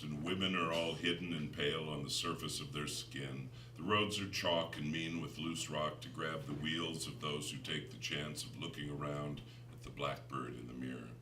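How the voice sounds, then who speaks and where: An American man, a poet in middle age, reading his own work to listeners in a measured, deliberate, dramatic way. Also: extremely low pitch, bright, forceful tone. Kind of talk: live poetry reading with spoken introductions. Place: live reading in a room before an audience. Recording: roomy, distant mic, faint noise.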